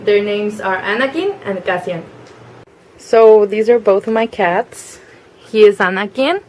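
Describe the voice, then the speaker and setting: A nineteen-year-old woman from Mexico with a Mexican accent, a native Spanish speaker, introducing herself in English at home in a casual video, speaking softly and hesitantly with many fillers. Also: mid-range voice, a little slow, roomy sound, rough recording. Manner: soft-spoken, hesitant with frequent fillers. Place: family home.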